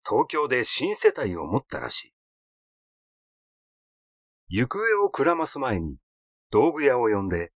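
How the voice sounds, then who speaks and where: A man's voice: mid-range voice, no room sound, studio quality. A man reading literary fiction aloud as a narrator. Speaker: audiobook reader.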